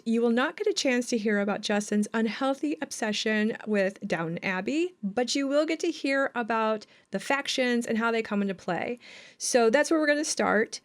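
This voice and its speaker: Higher-pitched woman discussing game design.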